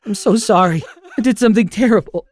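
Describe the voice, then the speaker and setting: A man's voice: quick pace, darkish tone, dry recording. A man voicing a tearful teenage boy pleading for forgiveness. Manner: pleading, tearful, high-strung.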